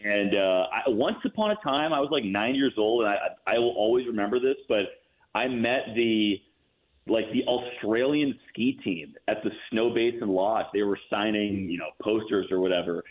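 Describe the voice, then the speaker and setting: A man with a fairly low voice talks sports as a broadcaster guest. He is fluent and enthusiastic in a conversational way. The sound is thin and phone-like. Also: moderate pace. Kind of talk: conversational sports talk interview. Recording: tinny narrow phone-like line.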